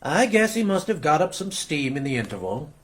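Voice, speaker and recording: Actor as a husky, breezy, evasive husband. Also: male voice, fairly high pitch, sing-song, brisk. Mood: casual evasion. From New York. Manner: offhand, dismissive, brightly reassuring. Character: evasive, breezy American husband in a ghost story. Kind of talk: radio play acting.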